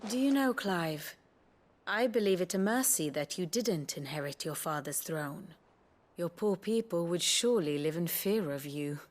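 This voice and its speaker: A woman's voice: lowish voice, expressive, a little slow. A woman playing a dry-witted, scholarly strategist in a video game, precise and articulate. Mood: wry. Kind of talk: video game cutscene acting.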